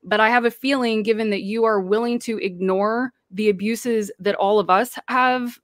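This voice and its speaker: A woman with a self-described deep voice, speaking as a guest on a livestream panel and calling out critics with passion. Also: steady tone, normal speed, no room sound, studio quality. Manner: impassioned, speaks out forcefully.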